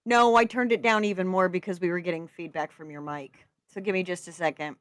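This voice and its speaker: A woman hosting a casual livestream chat, talking quickly while sorting out tech settings. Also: fairly low pitch, fairly even intonation, fairly bright tone, no echo, clean studio sound. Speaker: stream host.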